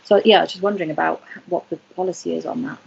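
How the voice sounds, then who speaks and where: A woman's voice: lowish voice, fairly even intonation, rapid-fire, vocal fry, darkish tone, breathy edge. A woman attendee speaking casually on an online webinar as she asks a question. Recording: dull, noisy webinar connection.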